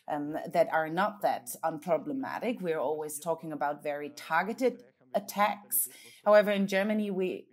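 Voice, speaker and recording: A woman interpreting a conference talk into English, measured and steady, with frequent hesitations and self-corrections. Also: lowish voice.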